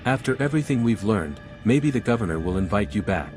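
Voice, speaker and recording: Game lead: serious, guarded male adventurer, played by a man, lowish pitch, clear music underneath. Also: lively intonation, a little fast, natural voice. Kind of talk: video game voice acting.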